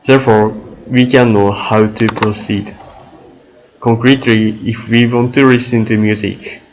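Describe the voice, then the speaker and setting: Slow, fairly low-voiced man presenting. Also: fairly even intonation, a little hiss or hum, rough recording. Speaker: student presenter. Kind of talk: prepared presentation of a software project.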